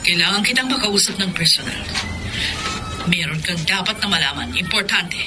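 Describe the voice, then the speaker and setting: A woman's voice: lowish voice, lively intonation, normal speed, natural voice. A woman playing a stern, protective middle-aged aunt in a radio drama, grave and insistent, with a Filipino accent. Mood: grave, secretive. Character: Tita Lia, stern protective meddling aunt. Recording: noisy background, some music.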